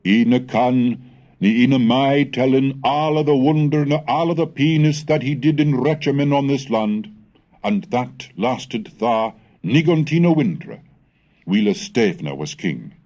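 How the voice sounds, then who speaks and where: A man reading a scholarly script in a measured, formal, lecturing manner, as narrator of a language history lesson. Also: mid-range voice, lively intonation, darkish tone, dull audio. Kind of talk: scholarly scripted narration and recitation of old texts.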